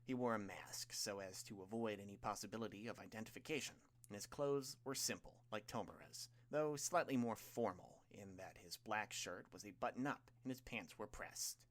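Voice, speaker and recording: A man's voice: lowish voice, moderate pace, few pauses. A man narrating a scripted story as an audiobook reader. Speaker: audiobook reader of fan fiction.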